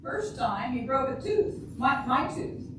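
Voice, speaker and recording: A woman's voice: high voice, very animated, unhurried. An older woman in an amateur readers' theater playing a naive, blunt, literal-minded housewife and mother. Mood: matter-of-fact. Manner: literal-minded, plain, ungrammatical phrasing. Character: naive, blunt housewife and mother, matter-of-fact about shooting husband. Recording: distant, very echoey, noisy room.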